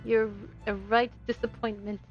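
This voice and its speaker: A woman voicing a sharp, disapproving young gentleman detective in a visual novel, stern and clipped. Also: on the high side, level tone, measured pace, pitched below the performer's own voice. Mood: scornful. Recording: dull lo-fi stream audio with game music.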